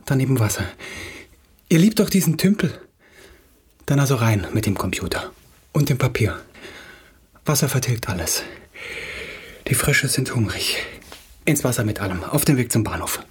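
Stammering, insecure young playwright, husky-voiced. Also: male voice, medium pitch, big pitch swings. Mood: bitter, desperate. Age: young adult. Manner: stammering, halting, repeats himself. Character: insecure, agitated young playwright, brooding husband. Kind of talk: radio play acting.

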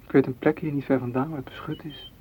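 A man's voice: mid-range voice, fairly even intonation, quick pace, warm tone, lightly acted. A man playing a frustrated, hurt lover, intense and reproachful, in a radio play. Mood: hesitant, hurt. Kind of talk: Dutch radio play acting. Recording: dull, faintly noisy old radio recording.

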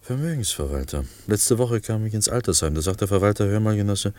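A man's voice: on the low side, lively intonation, a little fast, darkish tone, no room sound, close to an everyday voice. An actor playing a wry, talkative man from a film crew who needles his colleague in a radio play. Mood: chatty, insistent. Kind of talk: German radio drama acting.

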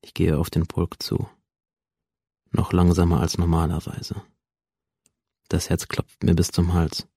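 Radio drama lead: cold, brooding schoolboy monologue, gravelly voice, close dry sound. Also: male voice, deep voice, monotone, unhurried, pauses often, warm tone, no echo, full character voice. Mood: tense, brooding. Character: cold, alienated teenage schoolboy narrating his violent inner world.

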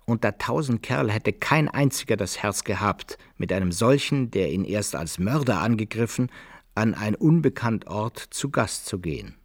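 An actor playing an adventurer who recounts his brawls and travels in measured, old-fashioned literary radio drama narration. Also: fairly low pitch, lively intonation, no echo, clean studio sound. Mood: measured recounting.

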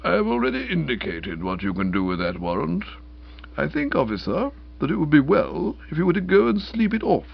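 A man's voice: a little high, very animated, brisk, gravelly, slightly rough, muffled recording, exaggerated voice. A man voicing a pompous, blustering older British lord and magistrate who speaks in orotund, long-winded sentences. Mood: cold, dignified.

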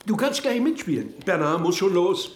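An actor playing a sociable card player coaxing a friend in a radio play. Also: male voice, high-pitched, big pitch swings, moderate pace. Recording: echoey room with faint noise.

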